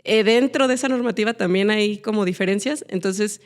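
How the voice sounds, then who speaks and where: A woman from Aguascalientes speaks with a Mexican accent, her voice sitting at a middle pitch. She reasons carefully and fills her sentences with hedging words. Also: a little fast, smooth. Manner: thoughtful, uses many fillers. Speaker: podcast guest. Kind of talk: casual chat.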